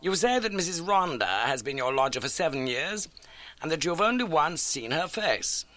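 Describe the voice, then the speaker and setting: A man voicing a brisk, courteous gentleman detective in an audiobook, questioning in precise, formal phrases. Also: on the high side, very animated, voice pushed up. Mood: brisk, inquisitive. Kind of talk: audiobook character acting.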